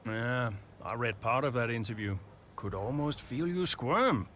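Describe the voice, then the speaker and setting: A steady negotiator in a game cutscene. He has a mid-pitched voice and speaks sincerely and tactfully, with faint background noise. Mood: wry. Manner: measured, sincere, persuasive. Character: tactful, caring male racing team boss, steady negotiator. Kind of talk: naturalistic video game cutscene drama.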